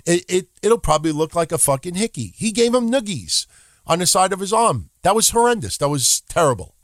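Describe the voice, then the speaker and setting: A man's voice: high voice, a little fast, no echo, clean studio sound. Swearing, ranting podcast host man. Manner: profane, ranting, animated. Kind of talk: casual wrestling podcast chat.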